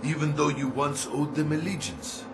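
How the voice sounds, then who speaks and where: An actor playing a shrewd middle-aged Saudi arms dealer in a video game, speaking in formal, grave, measured phrases. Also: male voice, a little high, very noisy, close to an everyday voice. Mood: solemn, probing.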